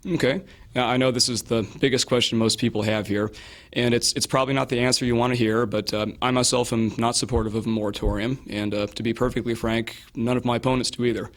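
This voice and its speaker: A hoarse man answering interview questions as a local candidate, measured and hedging, with frequent fillers and restarts. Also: medium pitch, fairly even intonation. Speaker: political candidate.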